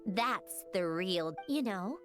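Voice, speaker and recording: A proud, witty young inventor in a video game. Her pitch moves in a lively way as she muses with self-assurance, and music plays clearly behind her. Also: slow. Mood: wistful, musing.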